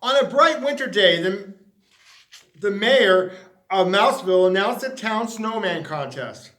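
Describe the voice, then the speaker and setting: A man reading a children's picture book aloud in an animated storytelling style with emphatic, deliberate phrasing. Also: sits high. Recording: roomy, echoey sound.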